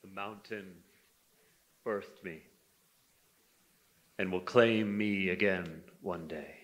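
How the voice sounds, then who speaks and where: A man voicing a solemn, weathered wild man of the mountain from a folk tale, grave, measured and poetic. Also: deep voice, low-quality recording. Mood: grave, resigned.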